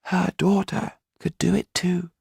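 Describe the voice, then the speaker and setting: A man voicing a solemn older Romany mystic in an audiobook, with a whispery, gravelly, husky voice and slow, hushed storytelling. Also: high-pitched, flat delivery, no echo, clean studio sound, pitched above the performer's own voice, full character voice. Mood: hushed awe. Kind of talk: audiobook character reading.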